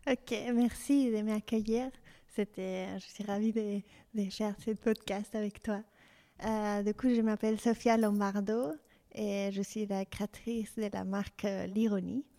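Mexican woman, low creaky voice. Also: fairly even intonation, unhurried, dark tone. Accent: Mexican Spanish. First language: Spanish. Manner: hesitant, many fillers and restarts. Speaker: fashion designer, guest. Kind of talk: interview conversation.

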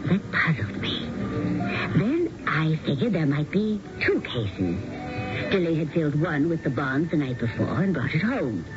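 A cool, scheming wife in a 1970s radio mystery. Her delivery is coy and theatrical, with a lively swing in pitch. The sound is a dull lo-fi broadcast with loud music in the mix. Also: unhurried. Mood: confiding. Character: cool, scheming wife who fled with money. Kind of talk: 1970s radio drama acting.

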